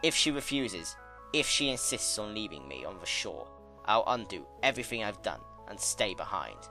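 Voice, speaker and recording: A man performs an ancient male chaos creature from a dramatic fan-fiction reading. He is smug yet weary and tender, speaking slowly and emphatically with big swings of pitch. Music plays behind the bright, forceful voice. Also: very animated, full character voice. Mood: tender pleading.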